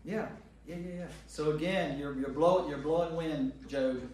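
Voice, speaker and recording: A man's voice: on the high side, moderate pace, a little mellow. A man leading a Bible study for a group, teaching emphatically and repeating phrases for emphasis. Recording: very echoey room, distant mic, faint noise.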